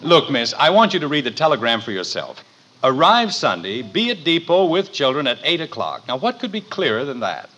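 A man's voice: mid-range voice, big pitch swings, fairly quick, bright, forceful tone, lo-fi sound. An actor playing a harried, wry middle-aged father in a vintage radio family comedy. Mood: pleading. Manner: exasperated, wry. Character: harried middle-aged father wrangling three children, exasperated. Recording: noisy vintage radio recording.

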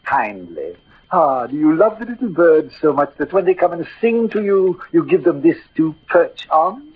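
Proud male duellist, 1940s radio verse: theatrical, mocking, very animated, phone-thin old recording. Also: on the high side, breathy edge, narrow, tinny audio, exaggerated voice. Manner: grandly declamatory, mocking. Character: swaggering proud Gascon swordsman, witty and combative.

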